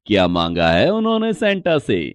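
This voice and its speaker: A man playing a genial, agreeable husband and father in a cartoon story, easygoing and deliberate. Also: medium pitch, huge pitch swings, smooth, no room sound. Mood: genial, curious. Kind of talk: Hindi cartoon moral story acting.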